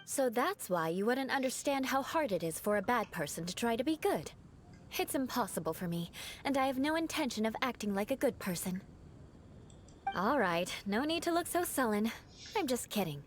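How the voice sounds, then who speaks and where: A proud, vengeful noble knight. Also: female voice, fairly high pitch, sing-song, moderate pace, slight background noise. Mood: haughty. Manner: formal, haughty, dry teasing. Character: proud aristocratic female knight, vengeful, aloof. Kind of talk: video game dialogue.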